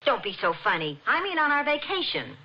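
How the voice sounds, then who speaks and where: An actress playing a shrill, insistent wife in a 1950s radio comedy sketch. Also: female voice, huge pitch swings, brisk, slightly rough, dull audio, exaggerated voice. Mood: nagging. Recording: thin, noisy old radio broadcast.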